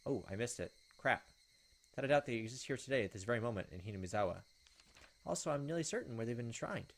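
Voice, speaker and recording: A man's voice: medium pitch, expressive, fast talker. A man reading visual novel text aloud as a let's player, with casual asides. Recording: game music behind the voice. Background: video game music.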